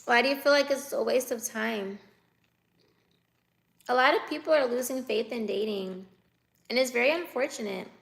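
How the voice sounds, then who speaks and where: A woman's voice: on the high side, moderate pace, creaky, pauses often, a bit bright, rough recording. A woman in her twenties chatting on a livestream, teasing and laughing as she answers viewer comments aloud. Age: 20s. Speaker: livestreamer reading chat. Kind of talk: casual live chat.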